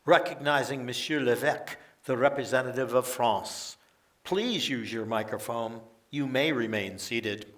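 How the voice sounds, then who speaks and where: A man's voice: mid-range voice, unhurried, natural voice. A man playing a formal chairman presiding over a world assembly in a radio drama, official and ceremonious. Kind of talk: radio theater acting. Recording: distant mic in front of audience.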